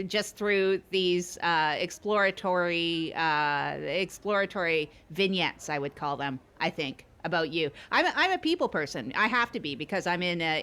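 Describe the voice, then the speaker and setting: A woman's voice: on the low side, a bit bright, clear, resonant tone, natural voice. A woman playing a chummy, folksy insurance agent trying out as a radio co-host, rambling and teasing in improvised comedy chat. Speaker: trial co-host of a comedy podcast.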